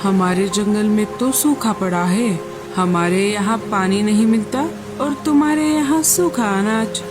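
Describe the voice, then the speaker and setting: A woman's voice: medium pitch, unhurried, music in the background, close to an everyday voice. A woman voicing a kindly, reassuring grandmother bird in a Hindi cartoon. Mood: chatty. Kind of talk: Hindi animated moral-story voice acting.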